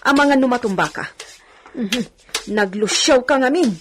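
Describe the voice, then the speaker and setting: Fussing high-pitched housemaid, acted by a woman. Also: normal speed, a little hiss or hum, natural voice. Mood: worried. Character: fretful, caring housemaid tending her young mistress. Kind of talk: audio drama acting.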